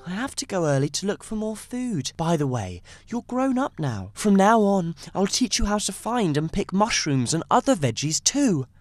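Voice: mid-range voice, very animated, moderate pace, few pauses, natural voice. A performer as a lecturing mother rabbit. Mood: instructive. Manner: earnest, lecturing. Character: proud, instructive mother rabbit.

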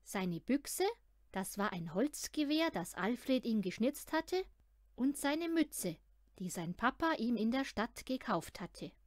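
Lady reading a kids story, unhurried, expressive, talks right to the child, close dry sound. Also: fairly high pitch, no echo. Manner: expressive, addresses the child listener. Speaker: audiobook reader. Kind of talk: scripted children's story narration.

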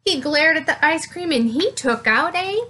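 A woman in her thirties reading a picture book aloud to children, with expressive, deliberate, emphatic phrasing. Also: high-pitched. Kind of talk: children's read-aloud storytelling.

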